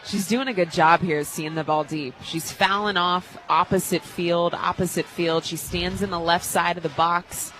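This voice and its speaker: A woman's voice: lowish voice, steady tone, normal speed, hardly any pauses, a bit bright, slight background noise, rough recording. An American woman working as a radio color commentator, explaining the game in a conversational, analytical way. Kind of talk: analytical live sports commentary. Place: stadium radio booth.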